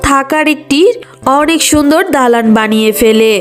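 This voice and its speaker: A woman's voice: high voice, moderate pace, loud music behind the voice. A woman reading scripted narration for a children's cartoon story.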